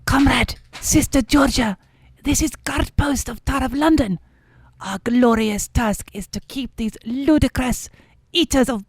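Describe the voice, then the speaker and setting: A woman's voice: on the high side, no room sound. Woman playing a stiff Soviet nun guard. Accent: mock Russian. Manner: stiff, formal broken English.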